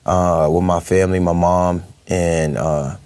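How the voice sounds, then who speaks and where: Deep-voiced young man. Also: flat delivery, a little slow, darkish tone, clean tone, no echo. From Brooklyn, New York. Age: young adult. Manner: hesitant, many fillers, laughs easily. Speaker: guest. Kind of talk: casual interview chat.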